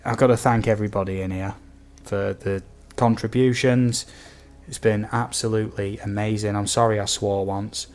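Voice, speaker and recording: Low, slightly croaky male game streamer. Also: fairly even intonation, normal speed, a little mellow. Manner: easygoing, chatty, addresses viewers as buddy and guys. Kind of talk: casual livestream chat to viewers.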